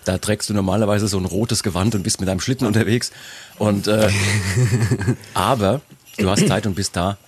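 A man hosting a podcast over a video call, chatty and self-mocking, his voice hoarse from a cold. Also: fairly low pitch, fairly even intonation, moderate pace, darkish tone, a little hiss or hum. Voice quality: husky. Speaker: podcast host. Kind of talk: casual chat.

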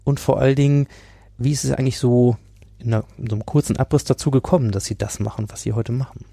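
Mellow-voiced man hosting a podcast. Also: medium pitch, fairly even intonation, quick pace, creaky, no room sound. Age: 40s. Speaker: podcast host, interviewer. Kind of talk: conversational podcast interview.

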